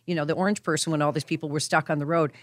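A woman co-hosting a talk show, chatty and animated, with jokey asides. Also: low voice, very fast, dry recording. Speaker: radio show co-host. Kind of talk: casual chat.

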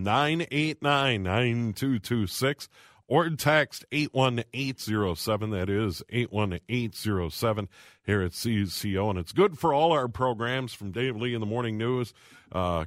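A man hosting a radio call-in show from a studio, reading out phone numbers slowly and making brisk station announcements. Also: medium pitch, fairly bright tone, no room sound. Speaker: radio host.

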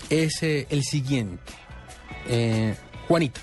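A man's voice: medium pitch, takes it slowly, darkish tone. A man hosts a radio discussion with an easy, chatty delivery. He often hesitates and repeats words as he builds his point. His voice sits in a middle register, with faint music behind. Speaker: radio show host.

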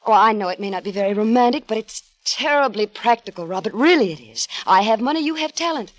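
A woman's voice: fairly high pitch, animated, fast talker, lightly acted. An actress playing a melodramatic, scheming woman in love, pleading theatrically in the style of 1950s radio drama. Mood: anxious, pleading. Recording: 1950 radio broadcast.

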